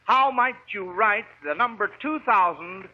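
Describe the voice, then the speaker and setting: A man's voice: high voice, unhurried. An American man hosting a 1940s radio quiz show with folksy schoolteacher patter. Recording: dull, noisy 1940s radio recording.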